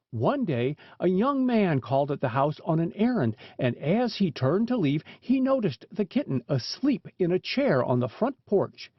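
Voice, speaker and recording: A man with a notably high voice narrates a storybook from a script. His pitch moves in lively swings from phrase to phrase. The recording is dry and somewhat dull, with little top end. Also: husky, dry recording. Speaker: audiobook narrator.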